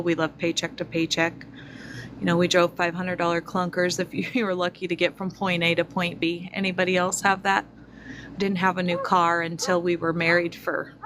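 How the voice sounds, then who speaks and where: Slow-spoken woman in her forties. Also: lowish voice, background noise. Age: 40s. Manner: slow, reflective, many fillers. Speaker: spiritual vlogger. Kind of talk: personal testimony to viewers.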